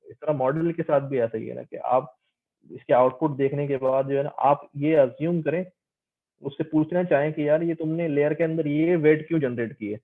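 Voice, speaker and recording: A man's voice: on the high side, moderate pace, narrow, tinny audio. A man giving an explanatory lecture as a teacher, conversational, mixing Hindi-Urdu with English and checking understanding with questions.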